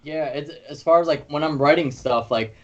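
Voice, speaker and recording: A man chatting informally as a musician being interviewed, animated and easygoing. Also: on the high side, moderate pace, dull audio. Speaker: band drummer and singer being interviewed. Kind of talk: casual interview chat.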